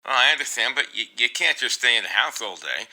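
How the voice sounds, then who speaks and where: Man playing a concerned doctor, very bright voice. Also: medium pitch, sing-song, fast talker, poor audio. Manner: solicitous, coaxing. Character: concerned, encouraging male doctor counselling a patient.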